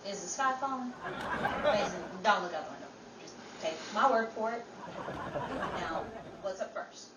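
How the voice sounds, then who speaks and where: A woman's voice: medium pitch, animated, moderate pace, long pauses, crowd noise, close to an everyday voice. A woman playing a wry, teasing, sarcastic character in a 1930s radio comedy. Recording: roomy, distant, faint background noise.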